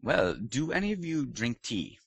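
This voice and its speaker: A man's voice: medium pitch, very animated, unhurried, vocal fry, a bit bright, slightly rough, no echo, heavily acted. A man playing a pompous British lord who hosts a comedic podcast interview, in a put-on British accent with a mock-posh, teasing manner. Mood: haughty, mock-posh. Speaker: host.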